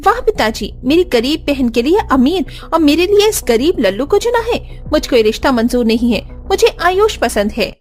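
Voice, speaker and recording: A woman's voice: high voice, sing-song, normal speed, hardly any pauses, a little mellow. A woman voicing a vain, snappish young woman in a cartoon story, with a scornful, showy manner. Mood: indignant.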